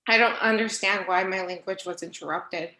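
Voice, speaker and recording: A woman's voice: mid-range voice, fairly even intonation, moderate pace, bright, forceful tone. Earnest young woman, echoey room. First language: Spanish. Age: young adult. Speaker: instructional assistant. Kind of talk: reading a prepared statement.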